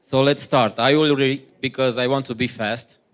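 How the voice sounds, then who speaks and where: A man's voice: medium pitch, fairly even intonation, normal speed. A man with a Romanian accent, a native Romanian speaker, starting a workshop talk and reading from prepared notes in English.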